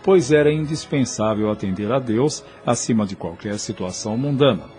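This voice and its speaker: A man's voice: medium pitch, normal speed, darkish tone, faint music behind. A Brazilian man narrating an audio drama in formal, literary storytelling language.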